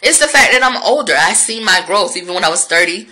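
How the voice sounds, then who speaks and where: A woman's voice: mid-range voice, lively intonation, quick pace, pushed and bright. A woman, talking frankly and profanely to her viewers in a reflective vlog monologue. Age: 30s.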